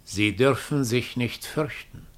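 A male actor plays an uncanny double, a self-confessed murderer bearing a sentence. He speaks at a medium pitch, solemn and implacable, with measured declamation. It is 1960s German radio drama acting. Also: close to an everyday voice. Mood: calm, commanding.

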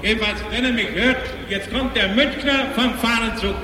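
A man's voice: very high-pitched, moderate pace, crowd noise. A man with a Bavarian accent making rambling, colloquial, self-correcting live announcements as an outdoor event host. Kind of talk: improvised live stage announcing. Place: outdoors in a cold city space. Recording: echoey outdoor PA, distant, noisy.

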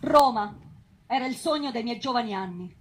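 An actress playing an ardent patriot in a lofty, literary dramatic reading of memoirs. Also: female voice, on the high side, expressive, normal speed, a little hiss or hum, natural voice. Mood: reverent. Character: ardent patriot recalling Rome with reverence.

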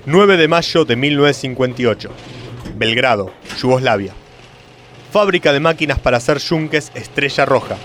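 A man's voice: mid-range voice, lively intonation, normal speed, a bit bright, slight background noise. A man with an Argentine accent giving solemn, mock-epic scripted narration for a radio comedy.

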